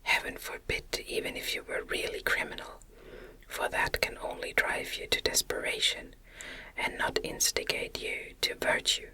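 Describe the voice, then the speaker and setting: Female ASMR audiobook narrator: breathy whisper throughout, very bright and crisp, gentle measured phrasing. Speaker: audiobook reader. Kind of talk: ASMR whispered novel reading.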